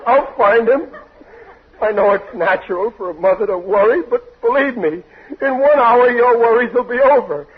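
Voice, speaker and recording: An actor playing a bumbling, soft-hearted working-class father who blusters and stammers excuses in a 1940s radio comedy. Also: extremely high pitch, normal speed. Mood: pleading, reassuring. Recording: tinny, noisy 1940s radio recording.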